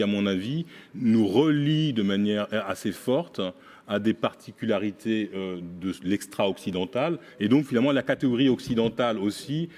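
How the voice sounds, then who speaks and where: A man making an academic point in a workshop discussion, speaking deliberately with pauses and restarts. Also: lowish voice, a little mellow. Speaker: workshop participant.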